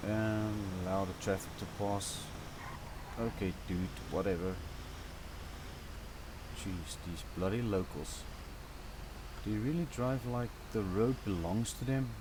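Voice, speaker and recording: Low-voiced South African man narrating gameplay. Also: measured pace, pauses often, background noise. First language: Afrikaans. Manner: relaxed, rambling and chatty, with fillers and light grumbling. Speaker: gaming channel host. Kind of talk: casual gameplay tutorial commentary.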